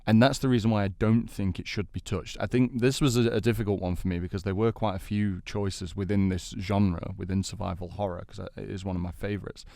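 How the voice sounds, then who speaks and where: Low-voiced man in a casual panel debate. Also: fairly even intonation, brisk, vocal fry, few pauses, a little mellow, dry recording. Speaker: debate show host and panelist. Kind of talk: casual panel debate about games and film.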